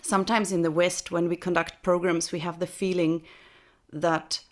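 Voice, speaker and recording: A woman's voice: lowish voice, little pitch movement, moderate pace. A woman from Austria speaking on a video call as a guest speaker, chatty and laughing often. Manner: chatty, laughs often, restarts mid-phrase. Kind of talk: casual spoken reflection. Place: home in Texas, early morning.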